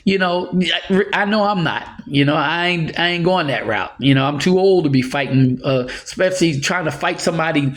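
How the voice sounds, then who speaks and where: A man's voice: on the high side, animated, brisk, few pauses. Earnest older man giving life advice. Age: 60s. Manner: earnest, repetitive, chuckling now and then. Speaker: motivational speaker. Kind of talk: casual advice monologue.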